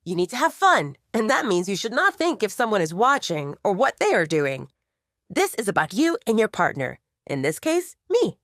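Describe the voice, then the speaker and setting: A woman voicing a charming, caring young male werewolf bartender in an audiobook, patient and gently teasing. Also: mid-range voice, big pitch swings, moderate pace, no echo, studio quality. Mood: earnest, instructive. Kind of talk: audiobook character dialogue.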